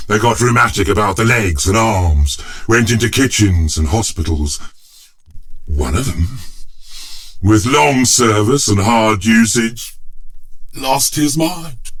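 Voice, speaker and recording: A man playing a very old, pompous, cantankerous gentleman, really an enchanted chair, in an audio drama with emphatic old-fashioned speech. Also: on the low side, heavily acted. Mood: wistful, reminiscing. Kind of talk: theatrical audio drama dialogue.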